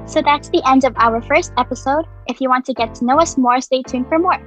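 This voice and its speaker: A nineteen-year-old woman with a high voice co-hosts a church youth podcast. She sounds upbeat and bubbly. Faint music plays in the background. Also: a little fast, fairly bright tone. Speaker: youth ministry leader, podcast co-host.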